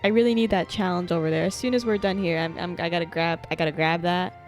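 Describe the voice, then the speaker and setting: A woman streaming a game live with casual reactions, excitable, giggly and salty-mouthed. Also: fairly low pitch, fast, soft background music.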